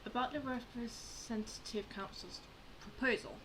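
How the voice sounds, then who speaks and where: A woman hosting a game playthrough, commenting casually and reading text aloud in an informal, halting way. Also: a little high, fairly even intonation. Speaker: gamer, channel host. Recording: clear background noise with game audio.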